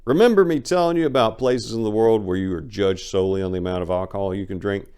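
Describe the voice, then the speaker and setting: A man's voice: on the low side, fast, warm tone, clear, resonant tone. A man giving a dramatic reading of a first-person memoir in a brash, swaggering storytelling manner. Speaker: narrator of a memoir.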